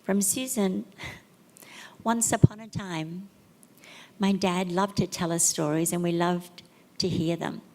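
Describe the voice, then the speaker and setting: A middle-aged woman reading family tributes aloud at a memorial service, with a gentle, measured delivery and pauses between phrases. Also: on the low side, steady tone. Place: memorial service venue, large room. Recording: hall reverb.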